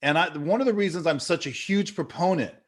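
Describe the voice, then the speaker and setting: A man's voice: on the high side, brisk. Earnest motivational speaker, man in his fifties. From California. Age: 50s. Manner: earnest, storytelling, many fillers and restarts. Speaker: business leader speaking at an online event. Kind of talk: motivational talk.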